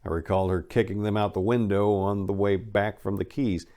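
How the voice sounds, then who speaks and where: Low-pitched man narrating a story. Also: steady tone, normal speed, warm tone. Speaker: narrator reading a book. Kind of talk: dramatic first-person audiobook narration.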